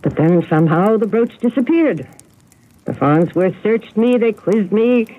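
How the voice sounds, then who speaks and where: An actress playing a genteel, agitated older spinster telling family secrets in a radio mystery drama. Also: female voice, medium pitch, lively intonation, a little slow. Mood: anxious, bitter. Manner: fretful, genteel, dramatic storytelling. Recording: narrow, noisy old radio broadcast recording.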